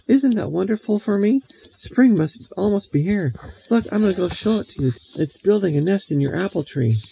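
A bratty little girl squeals and teases in a high, swooping voice, as a man puts her on for a bedtime story. There is a faint gravelly edge under the squeak. It is a homespun storybook voice. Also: animated, normal speed, darkish tone, a little hiss or hum, low-quality recording. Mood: boastful. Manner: squealing, gleeful, teasing. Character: bratty teasing young girl.

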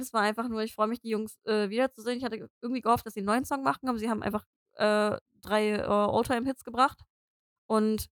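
A woman's voice: fairly high pitch, dry recording, studio quality. A woman in her twenties, a native German speaker, co-hosting a podcast in casual chat, full of fillers and laughing often. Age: 20s. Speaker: podcast co-host.

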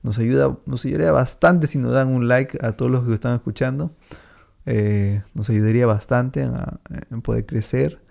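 A man hosting a podcast, talking casually and rambling with many fillers. His voice is gravelly and mellow, and he laughs easily between thoughts. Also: medium pitch, normal speed. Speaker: podcast host. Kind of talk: casual chat.

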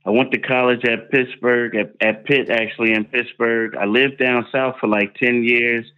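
A man's voice: mid-range voice, little pitch movement, moderate pace, clear, resonant tone, narrow, tinny audio. A man from Philadelphia, a sports reporter and podcast host, introducing himself in an upbeat, casual way.

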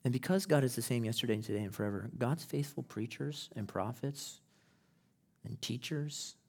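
A man preaching a sermon as pastor, moving from conversational teaching to loud, impassioned preaching. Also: medium pitch, normal speed, breathy edge. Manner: acts out quoted people in casual voices. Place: church sanctuary.

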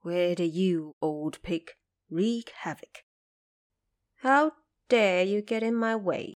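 A woman's voice: lowish voice, slow pace, dry recording. A woman voicing a stern, commanding goddess who questions imperiously, in an audio drama story.

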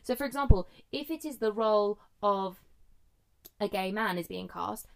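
A young woman co-hosting a podcast, talking loudly and animatedly while holding the microphone very close. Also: mid-range voice, moderate pace, vocal fry. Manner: loud, animated ranting. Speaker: podcast co-host. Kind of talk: casual podcast chat. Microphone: handheld mic held close, no foam cover.

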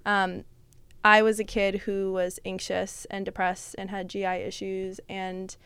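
Young woman guest on a podcast, rambly and chatty, smooth clear voice, dry sound. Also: mid-range voice, completely flat delivery, a little slow, fairly bright tone, dry recording. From Russia. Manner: chatty, many fillers like "like" and "um", laughs often. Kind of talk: casual chat.

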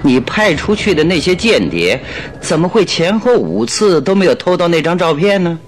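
An actor playing a shrewd, playful, self-assured detective in a radio drama, musing and amused in manner. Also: male voice, sits high, sing-song, normal speed, a little hiss or hum. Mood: probing, thoughtful.

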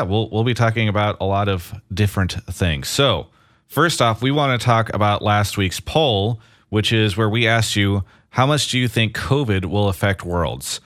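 A man's voice: fairly low pitch, fairly bright tone, clean tone, no room sound, studio quality. A man co-hosting a podcast from his apartment, chatting casually with upbeat, joking banter. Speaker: podcast co-host.

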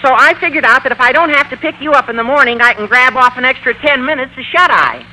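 An actor playing an earnest, whiny American teenage schoolboy in an old-time radio comedy. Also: male voice, very high voice, lively intonation, fast, pushed and bright, heavily acted, low-quality recording. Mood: sheepish. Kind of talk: broad 1940s-50s radio comedy acting. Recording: vintage radio recording with faint noise.

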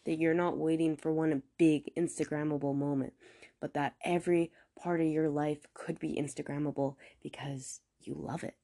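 Stuffy-nosed woman with a lower voice. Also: steady tone, mellow. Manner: earnest, encouraging, a little rambling; deliberately slow, careful pronunciation. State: stuffy nose from a cold. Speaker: podcast host. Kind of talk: casual solo podcast monologue.

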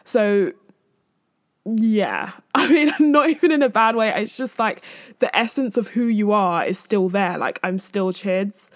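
Woman, 20s, podcast host. Candid, self-amused, casual rambling delivery at a mid pitch, recorded close and dry. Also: measured pace, dry recording. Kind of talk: casual chatty solo podcast.

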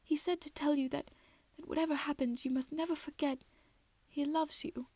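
A woman voicing an anxious, loving wood troll mother in a soft, tremulous, breathy voice for a storybook. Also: high-pitched, moderate pace, no room sound, voice pushed up. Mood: grieving, tender.

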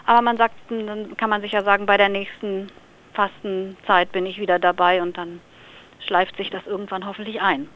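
A woman from Lower Saxony answering questions in a relaxed radio interview, thinking aloud with small fillers. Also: moderate pace. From Lower Saxony, Germany. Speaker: interview guest. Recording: slightly dull radio recording.

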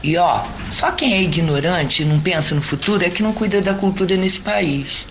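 A woman's voice: on the low side, lively intonation, normal speed, a little hiss or hum. A woman playing a spirited, hopeful circus dancer with a Brazilian accent, chatting in an audio drama. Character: spirited, hopeful female circus dancer, outspoken about culture.

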